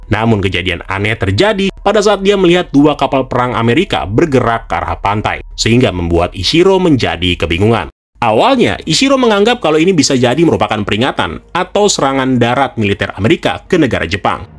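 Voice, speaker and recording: A man, narrating a story casually and speaking directly to viewers. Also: mid-range voice. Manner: colloquial, chatty, addresses viewers directly. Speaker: video narrator. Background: background music.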